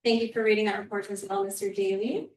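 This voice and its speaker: A woman serving as council president, chairing a borough meeting in a polite, measured, formal way. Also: mid-range voice, steady tone, a little mellow. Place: municipal council chamber. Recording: echoey chamber audio from far off.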